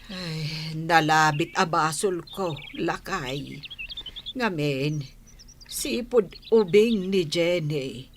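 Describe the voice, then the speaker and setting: A woman's voice: sits low, very slow, a little hiss or hum, full character voice. A woman playing a worried, self-sacrificing old village mother and wife in a radio drama, speaking plaintively. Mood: weary, lamenting.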